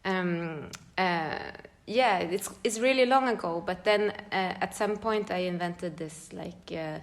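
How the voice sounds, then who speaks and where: A woman's voice: fairly low pitch, a little slow. A woman from Sweden with a Swedish accent, a choreographer chatting as a podcast guest, thoughtful and searching for words with frequent laughter. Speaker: guest choreographer and dance teacher. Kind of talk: casual podcast conversation. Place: large room.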